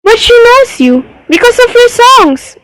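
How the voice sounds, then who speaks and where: A woman's voice: extremely high pitch, sing-song, unhurried, loud music behind the voice, exaggerated voice, low-quality recording. A woman playing Life personified in a radio drama, knowing, teasing and coolly persuasive. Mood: insistent. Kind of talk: theatrical radio drama acting.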